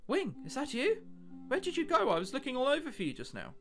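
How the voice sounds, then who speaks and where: Man reading an earnest, puzzled passenger, animated pitch. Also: quick pace, faint music behind, exaggerated voice. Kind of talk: visual novel dialogue read aloud.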